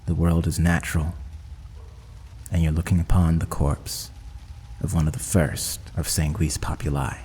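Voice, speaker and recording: A man's voice: extremely low pitch, flat delivery, moderate pace, pauses often, mellow, husky, big-room sound. A man running a tabletop roleplaying game as game master, narrating in a dramatic, descriptive way.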